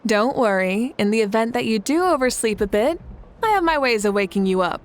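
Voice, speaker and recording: A woman's voice: a little high, animated, a little fast, lightly acted. A woman voicing a fiery, confident female leader and tournament host who speaks with passion and a teasing edge. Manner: self-assured and passionate, teasing. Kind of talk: game cutscene voice acting.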